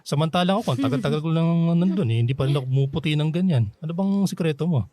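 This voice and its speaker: An actor playing a gentle, self-effacing middle-aged Filipino man in a radio drama, with a soft, earnest delivery and a Filipino accent. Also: fairly high pitch, expressive, very fast, dry recording. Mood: easygoing. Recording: music behind the voice.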